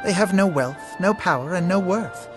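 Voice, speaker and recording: An actor playing a composed, erudite young male political advisor in a video game, speaking formal, measured English. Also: sits high, music in the background, lightly acted. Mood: pointed, concerned. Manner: articulate, formal, explanatory, measured. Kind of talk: scripted video game cutscene dialogue.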